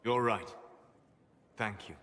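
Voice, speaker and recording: A melancholy male elf mage from a fantasy game, with a crisp, forceful edge to his voice. He speaks formally and with intensity, in a long reverberant space. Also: fairly low pitch. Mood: grave, reflective. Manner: measured, formal, intense when angered. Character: grave, melancholy male elven mage, passionate and learned. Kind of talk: dramatic video game voice acting.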